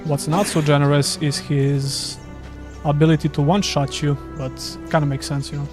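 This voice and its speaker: A man's voice: fairly high pitch, flat delivery, moderate pace, over music. A man from Serbia with a Serbian accent, a gaming streamer chatting casually and self-deprecatingly while playing live. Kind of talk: casual live gameplay commentary.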